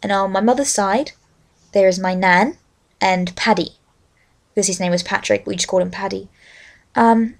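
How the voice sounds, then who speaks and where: A young adult woman with an Australian accent, speaking as a vlogger in a halting, unscripted-sounding way. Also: medium pitch, gravelly, darkish tone. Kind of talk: casual rambling chat with a read-aloud book passage.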